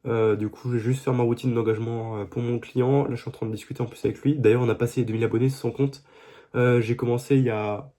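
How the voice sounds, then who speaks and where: A man's voice: medium pitch, steady tone, fast, dark tone. Young male vlogger with a head cold, rambling casual diary talk, phone mic. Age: young adult. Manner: rambling, many fillers and restarts. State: congested with a cold. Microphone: phone held facing him.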